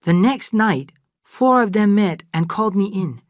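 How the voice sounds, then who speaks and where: A woman's voice: medium pitch, expressive, measured pace, darkish tone, no echo, clean studio sound, pitched above the performer's own voice, natural voice. A woman reading aloud, voicing a thoughtful middle-aged male cook who explains things earnestly and carefully.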